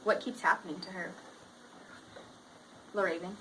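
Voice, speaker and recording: A woman's voice: lowish voice, steady tone, normal speed, pushed and bright, poor audio. A woman teaching a class, reading aloud expressively and putting questions to her pupils. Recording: faint background noise in a classroom.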